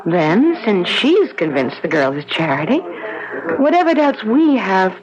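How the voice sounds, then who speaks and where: An actress playing a compassionate, earnest woman doctor in a 1970s radio drama, speaking in a gentle, expressive manner. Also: mid-range voice, a little slow. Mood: gently persuasive. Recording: dull lo-fi radio recording, crowd murmur behind.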